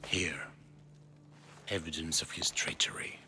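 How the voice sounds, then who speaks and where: An actor playing a grave, frightened cultist in a video game, in a low breathy near-whisper. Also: male voice, steady tone, unhurried, pushed and bright, a little hiss or hum, full character voice. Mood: grave, weary. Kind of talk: video game dramatic acting.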